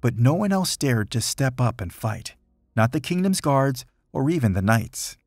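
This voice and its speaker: A man reading a rhyming children's picture book aloud in an expressive, dramatic storytelling manner. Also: mid-range voice, moderate pace, no room sound, clean studio sound. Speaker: storybook reader.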